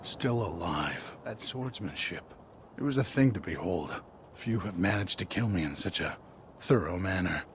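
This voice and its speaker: An actor playing a weary, undying swordsman in a video game, solemn and formal with halting phrases. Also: low voice, lively intonation, background noise. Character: weary, solemn undying male swordsman seeking death in battle. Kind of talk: video game cutscene acting.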